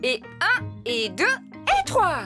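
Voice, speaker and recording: A woman's voice: extremely high pitch, wildly swooping pitch, slow, full character voice. A woman voicing a cheerful, patient mother pig giving step-by-step encouragement in a French children's cartoon. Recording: loud music behind the voice.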